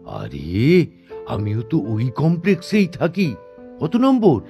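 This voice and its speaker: A man's voice: medium pitch, huge pitch swings, slow, vocal fry, mellow, heavily acted. A man acting a proud, teasing, somewhat prickly male stage performer in a theatrical audio drama, full of wry questions. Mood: curious, playful. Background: instrumental background music.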